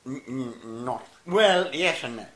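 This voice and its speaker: A man's voice: medium pitch, lively intonation. Older man in a roomy room. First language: Italian. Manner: halting and warm, stammers and restarts, laughs softly. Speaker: interviewee, anthropologist. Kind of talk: reflective interview answers. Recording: roomy echo, faint noise.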